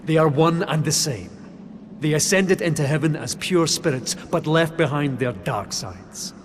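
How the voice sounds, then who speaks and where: An actor playing a troubled but determined warrior in a video game, speaking in an earnest, stern, demanding way. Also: male voice, a little high, measured pace, lightly acted. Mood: bitter, questioning. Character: troubled, determined male warrior, doubting his faith. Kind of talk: dramatic video game acting. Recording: reverberant, with clear background ambience noise.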